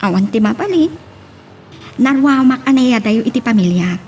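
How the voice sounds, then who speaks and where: A woman's voice: fairly high pitch, expressive, normal speed, darkish tone. A woman playing an affectionate young bride and granddaughter in a radio drama, with a Filipino accent. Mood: earnest. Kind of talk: Ilocano radio drama acting. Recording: noticeable background noise.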